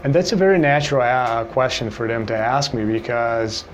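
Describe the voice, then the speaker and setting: A man in his forties from Brazil with a Brazilian Portuguese accent, an English teacher telling his own story to camera in a relaxed way, with many "you know"s. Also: mid-range voice, normal speed, slight background noise. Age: 40s.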